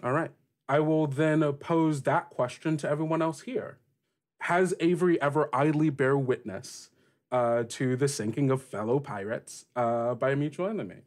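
A man acting as game master, narrating and questioning players in a tabletop role-playing game with deliberate, halting phrasing. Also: mid-range voice, darkish tone.

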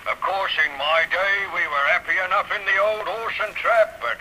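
A man's voice: high-pitched, normal speed, pushed and bright, a little hiss or hum, rough recording. An actor playing a grumpy, careworn old Australian country father in a 1930s radio serial. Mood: worried, confiding. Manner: irritable, muttering while adding sums.

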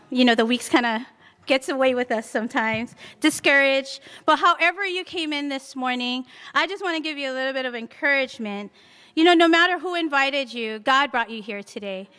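A woman in her forties, originally from Hawaii, speaks into a podium mic in a large hall. Her voice is high and bright, and the room adds a long reverb tail. She talks in a chatty, encouraging way and slips in light jokes. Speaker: women's day speaker. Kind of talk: church lesson talk. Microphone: stand mic at podium.

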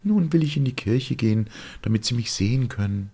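A man's voice: mid-range voice, lively intonation, fairly quick, dark tone, husky, pitched above the performer's own voice. A man voicing a poor, pious young girl in a fairy tale, pleading earnestly. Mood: weary, defiant. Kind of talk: fairy-tale audiobook character voice.

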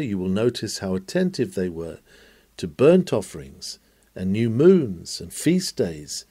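A man reads a sermon aloud in an earnest, exhorting manner, with formal, measured oratorical phrasing and searching rhetorical turns. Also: medium pitch, warm tone, no room sound. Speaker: reader of a historic sermon.

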